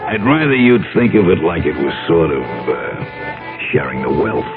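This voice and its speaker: A menacing radio-drama blackmailer with a very low, expressive voice. His talk is slangy, smug and taunting. It sounds like a muffled 1970s broadcast with loud music behind. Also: moderate pace, exaggerated voice. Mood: mock-friendly. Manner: slangy, taunting, coolly threatening.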